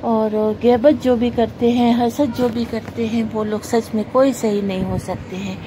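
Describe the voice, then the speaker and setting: A woman's voice: fairly high pitch, level tone, a little slow. Lady lecturing on her phone at home, scolding tone, noisy background w traffic. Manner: earnest, preachy, scolding. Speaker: vlogger. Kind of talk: moralizing monologue to camera. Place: carpeted room at home. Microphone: phone camera pointed at the floor.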